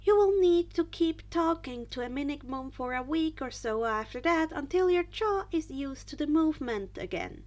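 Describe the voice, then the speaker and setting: A woman's voice: sits high, animated, normal speed, hardly any pauses, a little mellow, voice pushed up. A woman reading the part of a matter-of-fact, reassuring male doctor in an audiobook story, with a clinical, instructive manner.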